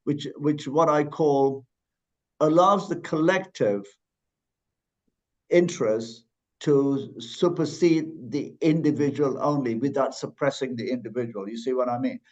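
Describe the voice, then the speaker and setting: A man's voice: medium pitch, measured pace, a little mellow. Thoughtful man from Malaysia, dull sound. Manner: thoughtful, many restarts and fillers. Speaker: guest. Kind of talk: conversational interview.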